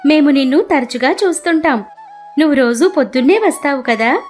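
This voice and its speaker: A high, earnest goddess, voiced by a woman, with music behind her. She is a caring, devoted divine wife in a cartoon devotional tale, pleading and a little indignant. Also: animated, brisk.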